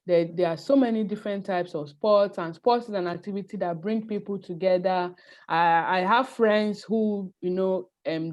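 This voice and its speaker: A woman from Nigeria teaching an online IELTS class, firm and instructive, calling on students and checking they understand. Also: medium pitch. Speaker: IELTS tutor. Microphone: video call. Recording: muffled video call audio.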